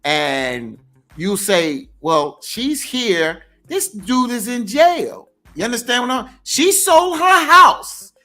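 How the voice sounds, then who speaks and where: A man's voice: very high-pitched, very animated, slow pace, bright, forceful tone, faint music behind. A man in a talk show debate, emphatic and questioning as he argues. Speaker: show regular. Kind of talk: casual debate chat.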